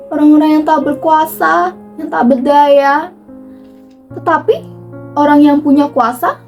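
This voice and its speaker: A woman delivers a dramatic theatrical monologue in an impassioned, rhetorical manner with emphatic pauses. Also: high-pitched, darkish tone. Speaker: monologue performer. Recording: distant microphone with music underneath. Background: background music.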